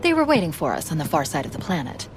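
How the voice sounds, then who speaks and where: A woman voicing a calm, witty female shipboard AI in a game cutscene, speaking in a cool, dry, precise way. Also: sits low, fast. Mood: calm briefing. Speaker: ship's AI. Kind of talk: video game cutscene acting. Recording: cinematic score underneath. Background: orchestral music.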